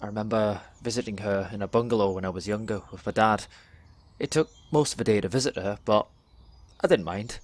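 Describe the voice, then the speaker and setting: A young man performs a dramatic spoken word monologue in a measured, deliberate storytelling way, pausing between phrases. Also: lowish voice. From England. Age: young adult.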